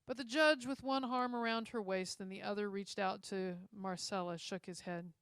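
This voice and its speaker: A woman reads a story aloud with expressive storytelling delivery. Her voice sits at a middle pitch, and the recording is dry with little room sound. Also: normal speed, clean tone, no room sound. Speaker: story reader.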